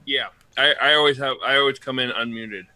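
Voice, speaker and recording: Male call participant from the US, bright and crisp-edged, wry, casual conversation. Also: medium pitch, fairly even intonation, a little fast. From United States. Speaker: stream participant. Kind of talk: casual chat. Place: indoors on a video call.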